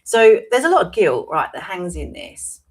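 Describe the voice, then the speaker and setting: A woman, hosting a live-streamed talk show. Her voice is hoarse and croaky, and she talks in a chatty way, often checking in with "right?". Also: medium pitch, sing-song, moderate pace. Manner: chatty, tags points with "right?". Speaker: wellbeing coach and show host.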